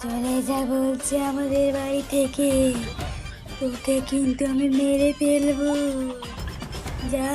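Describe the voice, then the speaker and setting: Woman putting on a menacing, drawn-out cartoon ghost. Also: sits high, flat delivery, dark tone, faint music behind. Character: mother pretending to be a menacing ghost. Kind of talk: cartoon voice acting.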